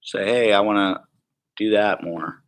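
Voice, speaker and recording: A man's voice: lowish voice, fairly even intonation, a little slow. A young man giving an informal teaching talk at a prayer school, conversational and rambling, often checking in with "right?" and "okay". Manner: conversational, rambling, laughs softly, asks the room "right?".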